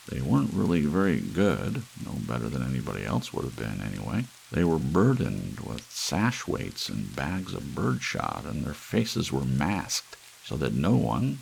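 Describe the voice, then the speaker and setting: A man with a rock-bottom, creaky voice reads a story in ASMR audiobook style. His pitch moves around expressively as he narrates. Soft rain hisses faintly in the background. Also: poor audio. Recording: faint rain hiss throughout.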